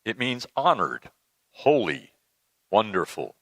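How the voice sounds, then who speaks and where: Man as a patient, teasing deity: conversational, admonishing, lively intonation, dry close sound, church sketch. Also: fairly low pitch, slow, no echo, exaggerated voice. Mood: encouraging. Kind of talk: dramatic reading of a comic church sketch.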